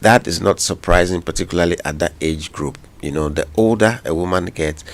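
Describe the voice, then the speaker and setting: A very deep-voiced man with a Ghanaian English accent, speaking as a doctor on a radio call-in show. He explains medical matters at a measured pace, with small fillers along the way.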